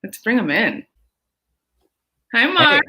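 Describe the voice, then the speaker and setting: A woman's voice: fairly high pitch, sing-song, slow, pushed and bright. A young woman hosting an arts interview show, reading a friendly scripted introduction before chatting with her guest. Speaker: host.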